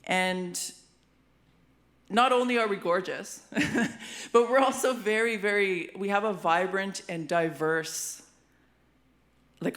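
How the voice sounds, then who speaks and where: A woman's voice: on the low side, fairly bright tone. The Canadian woman emceeing a local arts awards night, chatting to the room in a playful, giggly, casual way. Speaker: master of ceremonies at an awards show; hip hop artist, rapper. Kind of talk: casual stage banter.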